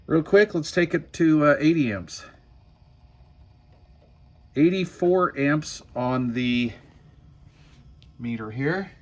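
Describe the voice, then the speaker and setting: A man giving a casual hands-on demo as a hobbyist host, folksy and thinking out loud. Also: on the high side, animated, takes it slowly, long pauses. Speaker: hobbyist host demonstrating a homemade power supply. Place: workshop bench.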